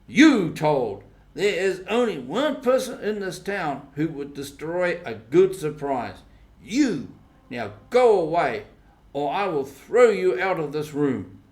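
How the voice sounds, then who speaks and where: A bold young boy from a storybook , read by a man. He is confident and mischievous, speaking in emphatic, assertive phrases at a slightly raised pitch. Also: sing-song, takes it slowly, a little mellow. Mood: angry.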